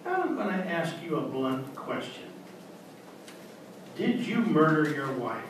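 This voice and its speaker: An actor playing a probing, reasoning doctor in an old-time radio drama, formal and deliberate in his explanations. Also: on the high side, very animated. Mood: suspicious, firm. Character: probing, reasoning male doctor, calmly accusatory. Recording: very echoey hall, distant mic, clear noise.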